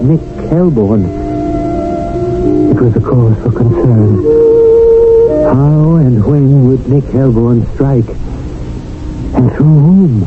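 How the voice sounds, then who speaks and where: An actor playing a concerned, wry storyteller in a 1970s radio drama, narrating in a deliberate, confiding way. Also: male voice, medium pitch, close to an everyday voice. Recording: tinny old radio, loud music behind.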